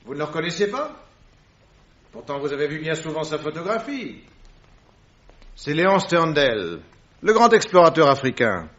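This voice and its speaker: An actor playing a composed, shrewd detective with an incisive, courteous manner in a French radio drama. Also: male voice, fairly high pitch, big pitch swings, moderate pace, pauses often, fairly bright tone. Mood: observant, intrigued. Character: composed, shrewd male detective, probing and politely ironic. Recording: dull, muffled vintage radio recording.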